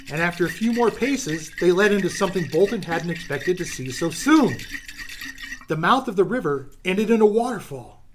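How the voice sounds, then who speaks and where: A man's voice: fairly high pitch, a little hiss or hum. A man narrating a radio adventure serial in a theatrical, suspenseful storytelling style.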